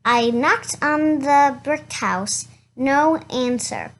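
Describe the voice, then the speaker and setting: Someone reading a children's storybook aloud in a halting way, stumbling over words and going back over them. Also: high voice, a bit bright. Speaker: reader of a children's picture book.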